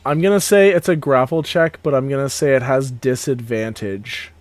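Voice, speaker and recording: A man's voice: mid-range voice, moderate pace. A man acting as game master, narrating a tabletop game in a casual, descriptive way.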